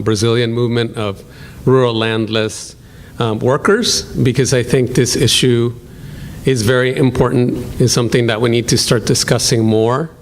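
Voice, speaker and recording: A man with a Salvadoran Spanish accent introduces a guest speaker at a university talk. He speaks at an ordinary pitch, pausing often with ums and starting sentences over. A faint hum runs behind him. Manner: hesitant, many ums and restarts. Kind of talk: lecture hall introduction.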